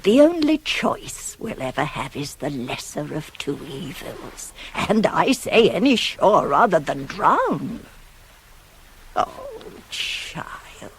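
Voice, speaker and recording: Older nurse and confidante, radio tragedy. Pragmatic, insinuating, very wide swoops of pitch, hissy old cassette sound. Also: female voice, heavily acted. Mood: coaxing. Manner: rhetorical, emphatic, insinuating, dropping to hushed tones. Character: older female nurse, shrewd, pragmatic, persuasive confidante of a queen. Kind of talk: 1980s radio play acting of Greek tragedy.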